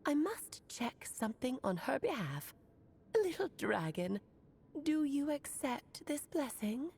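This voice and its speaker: A woman's voice: sits high, very animated, slow, vocal fry, darkish tone, breathy edge, heavily acted. A woman voicing a playful, mysterious witch goddess in a video game, speaking in a grand, teasing, theatrical manner.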